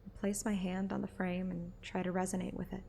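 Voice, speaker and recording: A woman's voice: on the low side, completely flat delivery, a little fast, creaky, dark tone, slight background noise. A woman narrating a story like an audiobook, with casual streamer asides. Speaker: streamer reading a game story aloud.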